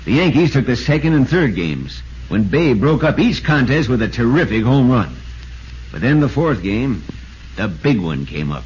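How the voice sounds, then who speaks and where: Animated American newspaperman in vintage radio drama. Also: medium pitch, moderate pace, slightly rough. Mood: dramatic storytelling. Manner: dramatic, insistent. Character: earnest, urgent male baseball reporter telling his story. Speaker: narrator and actor in a radio drama. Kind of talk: 1940s radio acting, dramatic narration. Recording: muffled, noisy vintage radio recording.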